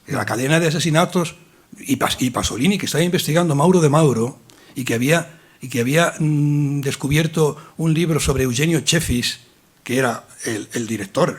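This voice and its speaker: A man's voice: mid-range voice, husky. A man speaking at a public colloquium, rambling through a long explanation with many restarts and fillers. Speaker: invited speaker. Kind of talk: lecture-style talk in a colloquium.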